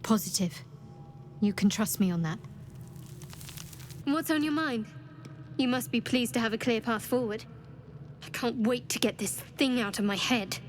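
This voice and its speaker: A woman's voice: fairly high pitch, unhurried, pauses often, close to an everyday voice. A woman playing a guarded young female adventurer in a fantasy video game, with a cool, dryly teasing manner. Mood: guarded, reassuring. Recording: clear background noise.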